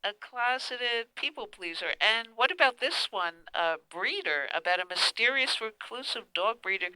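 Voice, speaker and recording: Woman, radio interviewer, casual questioning, bright tone, dry close sound. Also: a little high, expressive, moderate pace, clean tone, dry recording, rough recording.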